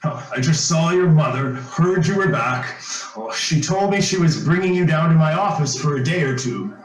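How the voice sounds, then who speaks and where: Radio play male actor: pompous elder gentleman, stern and formal, animated delivery, echoing room. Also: fairly high pitch, moderate pace, close to an everyday voice. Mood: pompous surprise. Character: pompous, stern older professional man, paternal. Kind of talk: old-time radio drama acting. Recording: echoey room.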